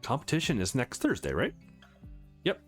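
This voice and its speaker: A man reading visual novel dialogue aloud in an animated let's play style. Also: medium pitch, moderate pace. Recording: music behind the voice. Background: background music.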